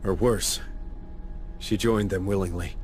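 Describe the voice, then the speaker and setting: An actor playing a weary, sardonic middle-aged marine sergeant in a video game, with a gruff, wry, blunt manner. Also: male voice, low voice, steady tone, measured pace, darkish tone, faint music behind. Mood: brooding, worried. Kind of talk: cinematic video game acting.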